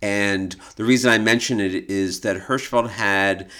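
A middle-aged American man with a low-pitched voice co-hosts a conversational podcast. He explains and tells stories at a measured, deliberate gait. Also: fairly even intonation, pushed and bright. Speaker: podcast co-host. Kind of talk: conversational discussion of illustration history.

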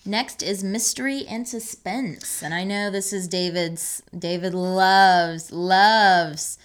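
A woman's voice: medium pitch, slow pace. A woman co-hosting a podcast in casual conversation, reacting agreeably and prompting her partner. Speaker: podcast co-host.

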